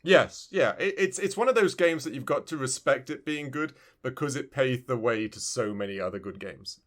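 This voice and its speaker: A man's voice: medium pitch, animated, moderate pace. A man co-hosting a gaming podcast, chatting informally and repeating phrases for emphasis as he gives opinions. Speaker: podcast co-host. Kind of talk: casual game review chat.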